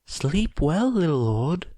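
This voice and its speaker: A man's voice: a little high, big pitch swings, dark tone, no room sound, studio quality, voice pushed up, exaggerated voice. A man voicing a giant, simple-minded monster servant, eager, loyal and devoted, in an audiobook story. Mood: devoted, obedient. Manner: slow, exclaiming, devoted.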